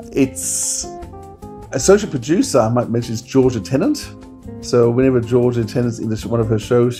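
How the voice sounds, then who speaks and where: Male podcaster, mid-pitched and mellow, musing casually through review notes over faint music. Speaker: podcast co-host. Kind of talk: informative casual review chat.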